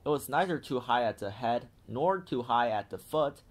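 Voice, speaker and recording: Animated man reading a children's story. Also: mid-range voice, normal speed. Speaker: children's book read-aloud narrator. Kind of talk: animated scripted storybook narration.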